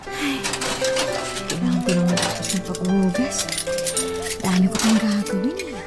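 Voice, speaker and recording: A woman's voice: mid-range voice, animated, moderate pace, close to an everyday voice. A woman acting a weary, pleading battered wife and mother in a radio drama, with music behind her. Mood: weary, busy. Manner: pleading, protesting, tearful under pressure. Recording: background music under the voice.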